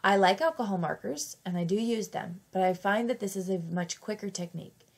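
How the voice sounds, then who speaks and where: A woman with a slight cold talks through her craft project in a chatty, easygoing voice-over. Her voice has a gravelly, creaky edge, and she often corrects herself mid-sentence. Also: medium pitch, fairly quick. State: fighting off a cold. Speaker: craft channel host. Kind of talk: casual craft tutorial voice-over.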